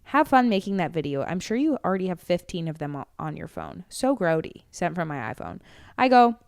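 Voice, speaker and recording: A woman's voice: on the low side, very animated, fairly quick, gravelly, darkish tone, no room sound, clean studio sound. A young woman co-hosting a casual podcast, telling stories and reading messages aloud in a mocking, dramatic way. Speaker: podcast co-host. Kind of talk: casual chat, reading ex's emails aloud.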